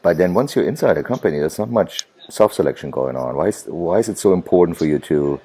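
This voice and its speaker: A man's voice: deep voice, lively intonation, fast, warm tone, breathy edge. A man hosting an interview, asking long, hesitant questions and often repeating words. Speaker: podcast host, interviewer. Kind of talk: conversational interview.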